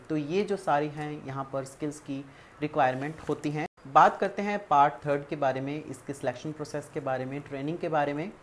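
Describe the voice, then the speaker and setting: An Indian woman presenting a career guidance tutorial, explaining methodically point by point and mixing in English terms. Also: deep voice. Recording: clearly audible background ambient noise.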